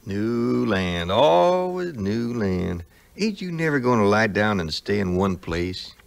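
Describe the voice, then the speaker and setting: Animated grumbling backwoods Southern sidekick. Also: male voice, lowish voice, takes it slowly, gravelly, heavily acted. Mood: exasperated. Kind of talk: 1950s record audio drama acting. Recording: 1950s vinyl record, music behind.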